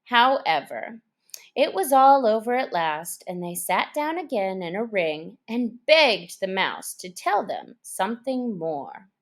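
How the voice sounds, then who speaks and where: A woman narrates a children's storybook at a slow, careful pace. Her medium-pitched voice rises and falls in a lively way, giving expression to each phrase. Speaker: storyteller, reader.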